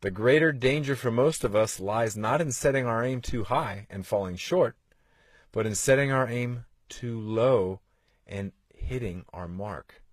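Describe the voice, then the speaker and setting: A man's voice: medium pitch, unhurried, no echo, studio quality. A man in his forties hosting a motivational podcast, urging listeners on with earnest rhetorical questions. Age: 40s. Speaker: podcast host.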